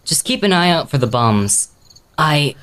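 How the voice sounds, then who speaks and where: Man playing a whiny, animated young explorer. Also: on the high side, a little slow, a little hiss or hum. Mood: casual. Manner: sarcastic, complaining. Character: whiny, wisecracking young male urban explorer. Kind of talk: audio drama dialogue.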